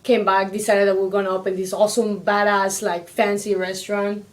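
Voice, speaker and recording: A woman's voice: mid-range voice, level tone, brisk. A woman from Ecuador in her thirties, with an Ecuadorian Spanish accent, a restaurant owner chatting casually as a guest.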